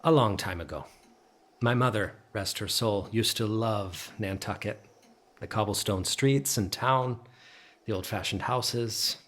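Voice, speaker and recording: An actor playing a troubled, bookish, melancholy man in an audio drama, speaking and narrating in a halting, introspective way. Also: on the low side, fairly bright tone. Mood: wistful. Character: troubled, bookish, melancholy man revisiting childhood holiday guesthouse.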